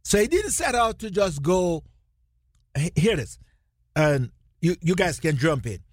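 A Jamaican man, a pastor co-hosting a radio ministry show, preaching emphatically with rhetorical questions and drawn-out words. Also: high-pitched, very animated, no echo, clean studio sound.

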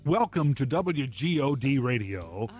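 A man reading a scripted radio program introduction in a slow, measured announcer style. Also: mid-range voice, very animated. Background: soft instrumental music.

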